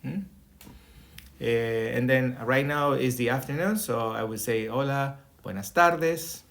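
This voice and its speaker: A man's voice: mid-range voice, measured pace, creaky, a bit bright. Man, Colombian accent, middle-aged, Spanish teacher, patient step-by-step explaining, laptop mic on a video call. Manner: explains step by step, often checks with "okay?". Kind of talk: online lesson with screen share. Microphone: webcam or laptop mic on video call.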